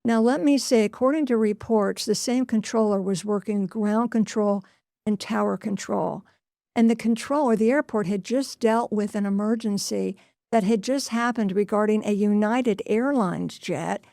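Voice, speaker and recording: A woman's voice: mid-range voice, normal speed, vocal fry, dark tone, no echo. Woman reporting news, dry close sound. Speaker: transportation news correspondent. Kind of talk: news report, semi-scripted explanation.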